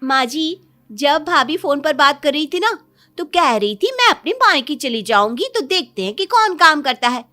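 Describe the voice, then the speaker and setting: Woman doing shrill, quarrelsome young daughter-in-law. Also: sing-song, moderate pace, bright, forceful tone, heavily acted. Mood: tattling.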